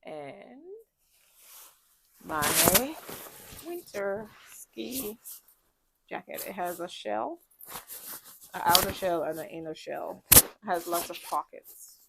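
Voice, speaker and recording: A woman's voice: on the low side, animated, takes it slowly, creaky, pauses often, heavy background noise. A woman with a congested, stuffy voice chatting casually as a travel vlogger, rambling with many ums and restarts. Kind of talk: casual packing chat to camera. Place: ship cabin.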